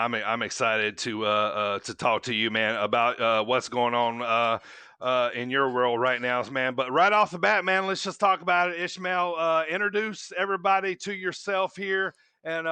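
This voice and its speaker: A man's voice: on the high side, sharp tone, clear, resonant tone, no echo. A man hosting a wrestling podcast in a loose, rambling way, padding his talk with "man" and "you know". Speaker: podcast host. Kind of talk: casual wrestling podcast chat.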